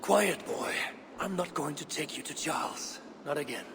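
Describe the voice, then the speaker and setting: Norse warrior, game drama: terse, earnest, plainspoken, fairly high for a man, noisy ambient background. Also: normal speed, a bit bright. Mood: urgent. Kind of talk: dramatic video game acting.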